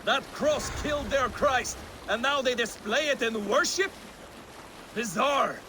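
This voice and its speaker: Brash, very high-pitched Viking warrior. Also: male voice, animated, unhurried. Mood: scornful, boastful. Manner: boastful, scoffing. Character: brash, scornful Viking warrior man eager for plunder. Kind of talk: video game cutscene acting. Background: water and boat noise.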